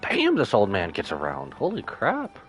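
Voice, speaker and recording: Deep-voiced, animated male streamer. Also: moderate pace, faint music behind. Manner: playful, slangy. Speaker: live streamer. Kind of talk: casual game reaction commentary.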